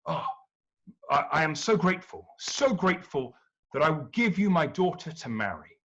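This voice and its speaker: Meek, fretful old king with a wobbly, husky voice, as a man puts him on; dull lo-fi storybook recording. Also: on the high side, very animated, moderate pace, fairly bright tone. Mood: grateful. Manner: hesitant, fretful. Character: meek, worried older male king.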